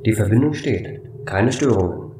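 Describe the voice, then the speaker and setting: A man voicing a calm, matter-of-fact station computer in a flat, formal way for a sci-fi audio drama. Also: fairly low pitch, moderate pace, echoey room, recorded from a distance, slight background noise, natural voice. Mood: neutral.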